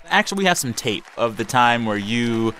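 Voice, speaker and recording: A male host leading a casual studio interview, enthusiastic and quick to laugh. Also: medium pitch, lively intonation, fairly bright tone.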